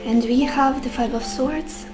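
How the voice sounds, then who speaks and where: A woman, a little sick and losing her voice, giving a gentle tarot reading to her viewers and musing aloud with soft hesitations. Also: sits high, steady tone, a little slow, music in the background, rough recording. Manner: gentle, addresses viewers warmly as beautiful souls. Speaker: tarot reader.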